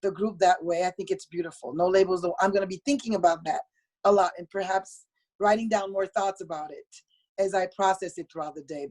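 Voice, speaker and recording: A woman from Haiti joins a video call as a guest and speaks from notes. Her pace is measured and her tone is polite, at a middle pitch for a woman. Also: a little mellow, low-quality recording. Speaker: meeting guest. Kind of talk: reading prepared remarks on a video call.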